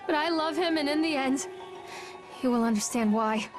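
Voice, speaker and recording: A woman's voice: high-pitched, moderate pace, over music, natural voice. A woman playing an anguished, bitter mother unraveling with obsessive love in a horror game, theatrical and emotionally raw. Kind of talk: dramatic horror game voice acting and monologue.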